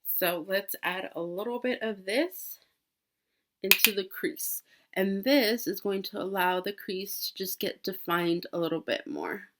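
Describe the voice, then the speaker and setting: A woman's voice: medium pitch, measured pace, a bit bright. A woman in her twenties, sick with a cold, chatting casually through a makeup tutorial with many fillers and restarts. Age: 20s. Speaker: beauty vlogger.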